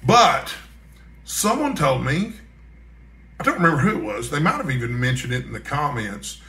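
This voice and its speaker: A man's voice: mid-range voice, very animated, moderate pace, bright, forceful tone, a little hiss or hum. A middle-aged man chatting casually to viewers as a book vlogger, with conversational asides, recorded in a roomy space. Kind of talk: casual chat to camera, answering a list of book questions. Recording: roomy, echoey sound.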